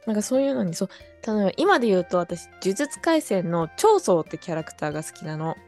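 A woman's voice: medium pitch, sing-song, fast talker, darkish tone, faint music behind. A woman talking casually as a co-host on a remotely recorded show. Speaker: podcast co-host and voice-drama maker. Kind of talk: casual chat.

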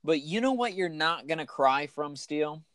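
A man with a raspy voice co-hosting a casual podcast, talking in an enthusiastic, joking, rambling way. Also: on the high side, expressive, moderate pace, a bit bright, no room sound, clean studio sound. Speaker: podcast co-host. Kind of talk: casual chat.

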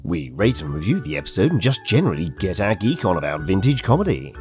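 A man's voice: deep voice, big pitch swings, fast talker, darkish tone, faint music behind. A man from England co-hosting a podcast, wry and teasing in casual chat. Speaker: podcast co-host.

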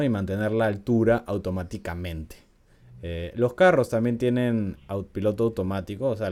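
Low, mellow-voiced man telling a story. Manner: chatty, laughs often, frequent "¿no?" tags. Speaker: podcast host. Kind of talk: casual storytelling podcast.

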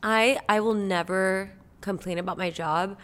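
A woman's voice: medium pitch, steady tone, a little slow, a bit bright. A woman in her twenties from Cuba, a podcast guest talking candidly and earnestly in casual chat, with many filler words. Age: 20s.

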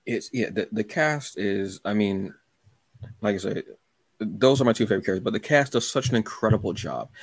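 A man's voice: on the low side, animated, fairly quick, vocal fry, breathy edge, no room sound. A man hosting a casual, conversational interview, often restarting his phrases and acting out little asides. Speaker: podcast host.

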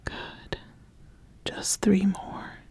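A woman playing a slow, whispering witch mentor. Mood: gently approving. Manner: slow, deliberate, gently approving, instructing. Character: ambitious witch sizing up a would-be apprentice. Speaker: ASMR host. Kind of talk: whispered, soft-spoken ASMR roleplay.

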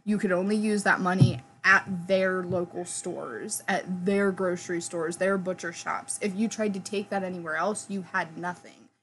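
A woman's voice: lowish voice, steady tone, moderate pace, hardly any pauses, a bit bright, a little hiss or hum, poor audio. A young woman co-hosting a casual podcast, blunt and jokey, swearing freely as she chats. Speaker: podcast co-host. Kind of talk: casual chat.

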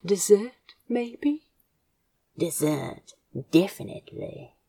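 Woman voicing a hushed, very husky, slow-spoken tender man. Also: medium pitch, sing-song, exaggerated voice. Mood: fond, hushed. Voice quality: breathy, whispery, husky. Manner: hushed, halting, intimate. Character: two tender, shy male lovers, softly murmuring. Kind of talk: intimate audio fiction dialogue.

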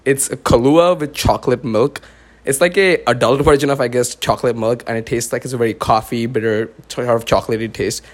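A young man hosting his own podcast, talking quickly and loosely. He fills his sentences with "like" and restarts, in a relaxed chat with a friend. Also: medium pitch. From born in the US, raised in India. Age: young adult. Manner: fast, filler-heavy talk with many "like"s. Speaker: podcast host.

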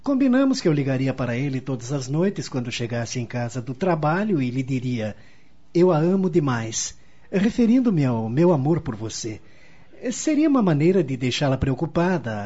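A tender, reassuring husband in a radio soap. His voice is dark and breathy at a medium pitch, on a dull recording. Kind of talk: radio soap opera acting.

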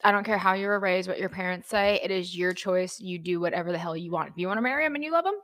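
Lower-voiced woman, blunt opinions, casual podcast chat, swears. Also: fast, fairly bright tone. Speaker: podcast co-host. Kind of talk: casual true-crime chat.

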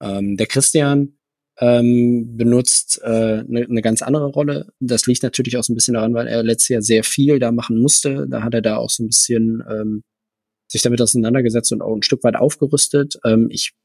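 A man's voice: medium pitch, steady tone, normal speed, vocal fry, a little mellow, no room sound. A man who co-hosts a podcast and coaches running, explaining things at length with many hesitations. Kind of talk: casual chat.

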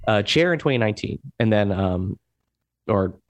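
A man in his thirties from Hong Kong, a guest in a casual talk, speaking haltingly with many restarts and fillers. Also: lowish voice, animated, muffled recording. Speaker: guest, chair of an overseas Democrats group. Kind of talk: casual conversation.

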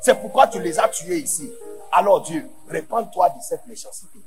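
A man from Ghana with English as his first language, preaching a sermon in French to a large conference, going from quiet asides to shouted emphasis. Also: high-pitched, moderate pace, creaky, faint music behind. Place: large church conference hall.

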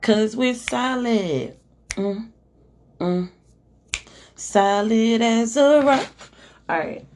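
A hoarse woman who is losing her voice hosts a podcast, reading oracle cards aloud in a chatty, slangy way and checking in with "okay?". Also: a little high, very slow, pauses often, darkish tone, slight background noise. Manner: chatty and slangy, swears, keeps asking "okay?" while reading card texts aloud. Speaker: podcast host and oracle card reader. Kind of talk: casual oracle card reading, live-streamed podcast.

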